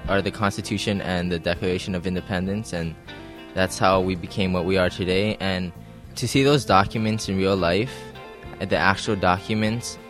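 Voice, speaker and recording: A teenage boy from Hawaii, speaking reflectively and earnestly about his experience. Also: deep voice. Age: teens. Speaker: camper.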